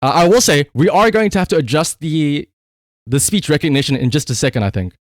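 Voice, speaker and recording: A man doing casual livestream gaming commentary in an excitable, emphatic way, prone to shouting and swearing. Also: fairly high pitch, fairly quick, dry recording, studio quality. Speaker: live streamer.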